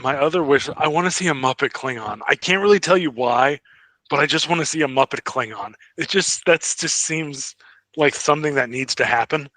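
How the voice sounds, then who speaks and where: Bright-voiced man, keen podcast co-host. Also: on the high side, a little fast, no room sound. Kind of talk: casual fan chat.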